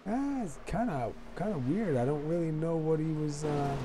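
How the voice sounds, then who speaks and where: A man doing play-by-play commentary on a simulated dirt race, a little hoarse from being sick, with many fillers and bursts of excitement. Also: a little high, moderate pace, slight background noise. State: losing his voice after being sick. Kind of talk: sim racing commentary.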